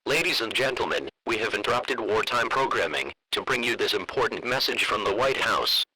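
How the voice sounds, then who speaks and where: A man playing a stern government official, reading formal wartime emergency announcements in a solemn, measured, declarative way. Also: fairly low pitch, lively intonation, noisy background, natural voice. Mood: urgent, official.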